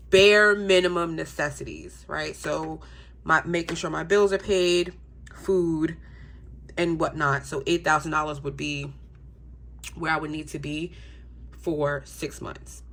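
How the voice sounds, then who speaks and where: Woman, young adult, congested, slightly low voice, casual vlog chat, relaxed with ums. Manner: chatty, relaxed, frequent ums. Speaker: budgeting YouTuber.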